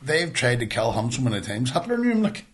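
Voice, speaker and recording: Male podcast co-host, Northern Irish, medium pitch, blunt and animated casual storyteller who rambles and swears freely. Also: fast talker.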